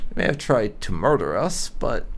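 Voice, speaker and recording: A man's voice: mid-range voice, sing-song, moderate pace, a little hiss or hum. A man hosting a gaming playthrough, giving dry, sardonic roleplay commentary and reading dialogue choices aloud. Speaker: gaming channel host.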